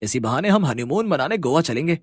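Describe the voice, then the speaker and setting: A man plays an easygoing young husband from a moral tale. His voice is fairly high and very animated, with a coaxing, scheming manner, recorded close and dry. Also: a little fast, dry recording. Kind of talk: moral story character dialogue.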